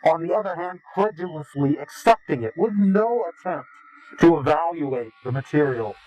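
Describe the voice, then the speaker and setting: A man answering interview questions combatively, in a formal, deliberate and contemptuous way. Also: on the high side, expressive, a little mellow, a little hiss or hum, low-quality recording. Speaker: interviewed anonymous informant.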